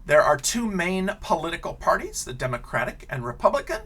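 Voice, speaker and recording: American man, children's read-aloud teacher. Lively intonation, rough-edged tone, clear simple explanations for little ones. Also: on the high side, fairly quick. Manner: animated, simple explanations aimed at young children. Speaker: elementary school teacher.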